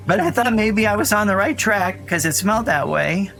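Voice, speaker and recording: An actor playing an earnest, anxious young boy who tells his story in a rambling, halting way in an audio drama. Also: high voice, lively intonation, creaky, pushed and bright, exaggerated voice. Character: Dougie, frightened earnest young boy recounting his brother's disappearance. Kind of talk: naturalistic audio drama acting. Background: music under the voice.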